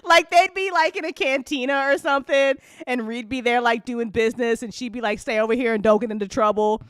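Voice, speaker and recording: A woman chatting casually and unscripted on an after-show, telling stories in a rambling, animated way with slangy swearing. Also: fairly high pitch, fairly quick, smooth, no room sound, clean studio sound. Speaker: audio drama creator chatting on a live after-show.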